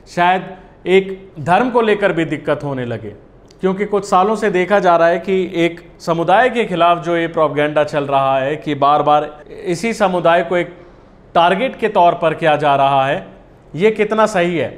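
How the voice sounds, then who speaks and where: High-pitched male news commentator. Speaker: news presenter. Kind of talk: opinionated news commentary to camera. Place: small indoor studio, seated at a desk. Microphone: lapel mic.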